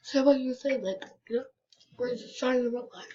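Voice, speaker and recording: A woman's voice: fairly high pitch, brisk, warm tone. A young girl in casual game roleplay, bossily directing the pretend play over a dull, muffled home mic. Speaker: player voicing a roleplay video.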